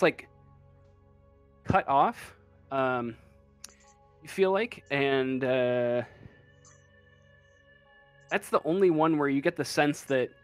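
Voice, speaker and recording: A man with a medium-pitched voice narrates a tabletop game as game master. He goes slowly and hesitantly, hunting for words, with faint music underneath.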